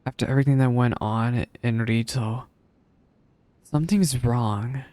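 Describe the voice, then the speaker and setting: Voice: mid-range voice, measured pace, mellow. A game streamer giving casual live commentary, musing aloud in a doubtful way. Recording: game music behind voice.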